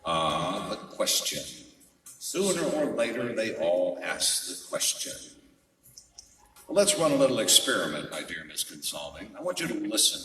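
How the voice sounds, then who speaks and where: Man playing a low-voiced hardboiled private detective. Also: very animated, sharp tone, faint music behind, heavily acted. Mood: sardonic, assured. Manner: world-weary, wry, deliberate. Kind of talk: noir radio drama narration and dialogue. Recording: echoey hall, distant mic.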